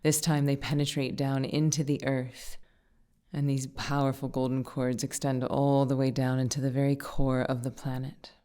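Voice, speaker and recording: A woman with a very deep, dark and relaxed voice leads a guided meditation. Her intonation stays flat and steady. She gives gentle instructions in short, unhurried phrases, leaving pauses between them. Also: smooth.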